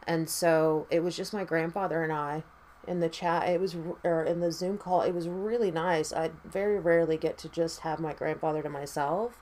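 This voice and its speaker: A woman with a raspy voice doing her makeup and chatting casually, tired, rambling and swearing freely. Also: sits low, steady tone, normal speed, darkish tone, slight background noise. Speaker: makeup vlogger.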